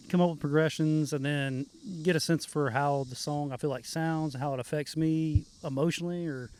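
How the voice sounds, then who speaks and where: A musician with a fairly high, smooth, clear voice, thinking out loud about how he writes songs. He speaks in a measured, considered way. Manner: thoughtful, measured explanation. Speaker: band member and songwriter. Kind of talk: casual chat.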